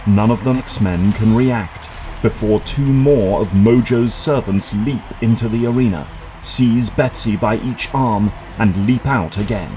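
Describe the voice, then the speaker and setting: A man reading scripted dramatic narration for an audio drama in a measured, suspenseful manner. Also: fairly low pitch, a little mellow, faint music behind, rough recording.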